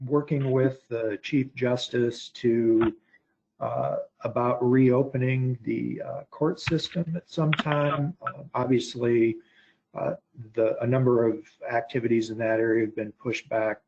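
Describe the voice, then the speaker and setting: An American man moderating a conference call, relaying questions politely and hesitantly in measured phrases. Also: medium pitch, steady tone, background noise. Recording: dull conference call audio.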